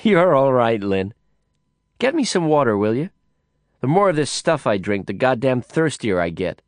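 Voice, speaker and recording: A man's voice: mid-range voice, very animated, normal speed, no echo, studio quality, voice pushed up. A man voicing a brash, hard-drinking woman in an audiobook, blunt, profane and sneering. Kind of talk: audiobook character voice.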